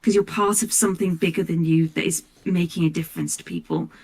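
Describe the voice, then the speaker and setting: A woman speaking casually and earnestly as an interview guest, stressing points by repeating words, with a creaky voice. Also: on the low side, fairly even intonation, quick pace, darkish tone. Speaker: voice actor, podcast guest. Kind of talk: casual, heartfelt interview chat.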